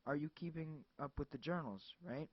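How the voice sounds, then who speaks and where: A man playing a calm, smug and menacing male caller who speaks politely, slowly and as if smiling. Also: on the high side, no room sound, lightly acted. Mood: calm menace. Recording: dull lo-fi dry recording.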